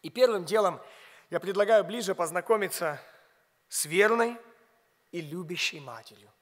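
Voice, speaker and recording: Non-native pastor preaching, high voice, asks the crowd questions then goes quiet, big church reverb. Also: male voice, animated, moderate pace. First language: not Russian. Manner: emphatic preaching with rhetorical questions, drops to a hush on key words. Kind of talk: sermon. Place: large church stage, behind a pulpit. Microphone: pulpit mic. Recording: long hall reverb.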